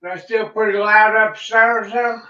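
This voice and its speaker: A man's voice: high voice, fairly even intonation, a little slow, bright, forceful tone. A man chatting casually and supportively with friends on a livestream panel. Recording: muffled, echoey room, distant from mic.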